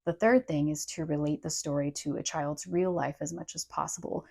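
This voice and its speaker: Woman, instructional presenter, conversational, gravelly fry, slightly dark tone, explanatory. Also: sits low, steady tone, moderate pace. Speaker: presenter of language tips for parents.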